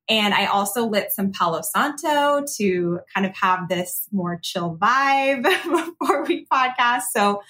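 A woman's voice: medium pitch, animated, slow, hardly any pauses, pushed and bright. An American woman from Arizona talking casually as a podcast guest, in a chatty, upbeat storytelling way. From Arizona, United States. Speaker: podcast guest, lifestyle blogger. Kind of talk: casual conversational interview.

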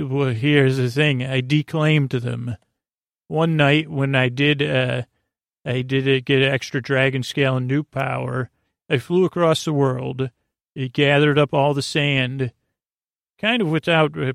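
A man hosting a bedtime podcast with a creaky voice and a lulling, goofy, meandering and halting delivery. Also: medium pitch, dry recording, clean studio sound. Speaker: podcast host. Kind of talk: rambling bedtime monologue.

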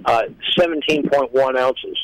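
A man chatting casually as a guest, explaining things in a rambling, halting way. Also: mid-range voice, dry recording, poor audio. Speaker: guest, musician. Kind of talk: casual chat.

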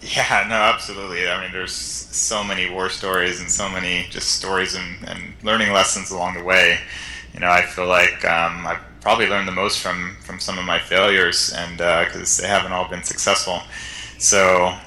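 A very deep, bright-toned male voice that barely moves in pitch. He speaks as a podcast guest, relaxed and halting, with lots of ums and restarts. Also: rough recording. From Netherlands and California. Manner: relaxed, hesitant, many fillers and restarts. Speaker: guest entrepreneur. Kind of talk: casual interview.

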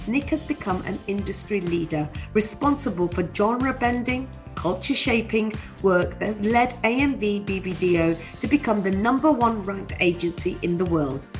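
A woman hosting a podcast interview, chatty and reassuring with her guest. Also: mid-range voice. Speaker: podcast host.

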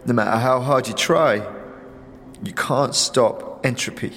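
A man voicing a despairing, muscular half-elven warrior woman in an audio drama, speaking in a bleak, halting, solemn way. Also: medium pitch, a bit bright, big-room sound, a little hiss or hum. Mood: grieving, bleak. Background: music.

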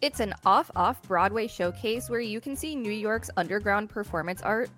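A woman's voice: medium pitch, moderate pace, a bit bright, faint music behind. A woman reading a scripted show promo in an upbeat, promotional way. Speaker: promo announcer.

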